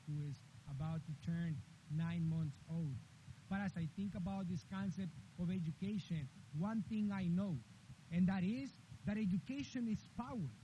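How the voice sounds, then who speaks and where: Earnest man, high mellow voice. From Honduras. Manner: earnest, repetitive emphatic phrasing. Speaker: commencement speaker. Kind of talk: graduation speech. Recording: dull lo-fi with faint hiss.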